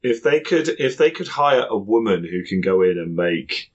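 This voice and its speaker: A man's voice: lowish voice, animated, normal speed, dull audio. A man talking as a podcast host, ranting casually in a blunt, foul-mouthed way.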